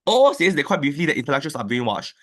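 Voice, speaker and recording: A man delivering a competitive debate speech over an online call, arguing point by point with quick rebuttals. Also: a little high, sing-song, fairly bright tone. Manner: fast, structured argument with quick rebuttals. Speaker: debate speaker. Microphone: online call, own mic.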